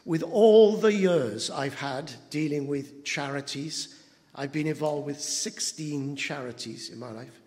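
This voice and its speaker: A man, preaching an anniversary sermon as pastor, halting with fillers and building to emphatic moments. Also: on the high side, a little mellow. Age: 70s. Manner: slow, halting with many fillers, rising to emphatic. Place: church hall. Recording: long church reverb.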